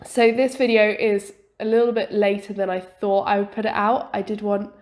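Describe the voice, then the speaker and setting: A young woman from England, still a little croaky after being ill, chatting casually over her building video as a game YouTuber. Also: medium pitch, normal speed. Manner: chatty, relaxed, many fillers and "quite". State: croaky from illness.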